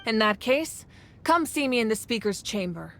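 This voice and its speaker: A woman voicing a composed, authoritative female ruler in a video game, speaking with measured calm. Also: on the high side, a little hiss or hum. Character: commanding yet gentle female ruler of a nation. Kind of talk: game voice acting.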